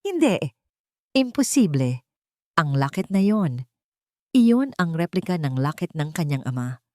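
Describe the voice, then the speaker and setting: A woman's voice: low-pitched, big pitch swings, a little slow, dark tone, dry recording, studio quality. A woman voicing a proud, wounded male CEO in an audio drama, cold and accusing. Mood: shocked.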